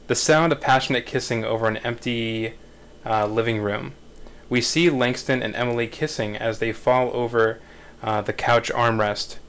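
A man in his thirties narrating screenplay directions in a measured, halting way at a table read. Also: medium pitch, a bit bright.

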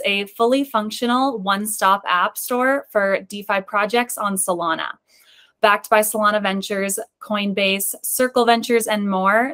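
A woman's voice: medium pitch, fairly bright tone. A young woman hosting an interview show over a video call, presenting in a prepared introduction-and-questions style. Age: young adult. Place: indoor room, on a video call. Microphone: video call microphone.

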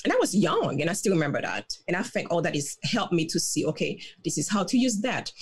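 A woman's voice: mid-range voice, darkish tone, breathy edge. A woman in her thirties who grew up in Haiti, a dentist guest explaining her views earnestly on a headset mic at home. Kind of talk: interview conversation. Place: home room.

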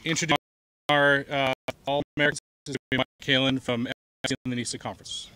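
Fast-talking man hosting an outdoor ceremony. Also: mid-range voice, flat delivery, background noise. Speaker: ceremony host. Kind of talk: ceremony introductions.

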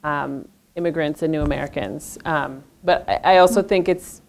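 Low-voiced woman panelist, earnest. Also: moderate pace, darkish tone. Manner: earnest, searching, many restarts. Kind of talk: panel discussion. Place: lecture room with audience.

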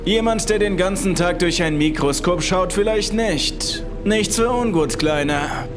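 Guy doing a bossy brusque expedition gunman for a horror story, pitched up high, music under it. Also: unhurried. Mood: condescending, brusque. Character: gruff, commanding, condescending male expedition gunman.

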